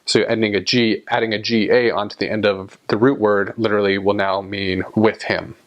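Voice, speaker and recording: A young man with a fairly low voice chats casually to camera in a relaxed, rambling way. He keeps stopping to restart his sentences and drops plenty of "uh"s and "like"s as he goes. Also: moderate pace, highs cut off. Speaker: vlogger recounting time abroad.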